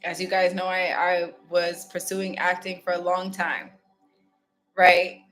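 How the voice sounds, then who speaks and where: A woman's voice: on the low side, level tone, unhurried, fairly bright tone, faint music behind, low-quality recording. A woman from Ghana hosting a casual motivational self-help talk, candid and reflective, chatting directly to her viewers. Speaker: spiritual self-help host.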